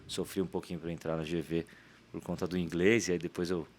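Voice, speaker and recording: A man in his forties from São Paulo with a Paulistano accent, a startup founder telling his story as a guest in a casual interview, chatty and quick, often tagging "né". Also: deep voice, flat delivery, a little mellow, a little hiss or hum. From São Paulo, Brazil. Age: 40s. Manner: quick, chatty, leans on "né" tags.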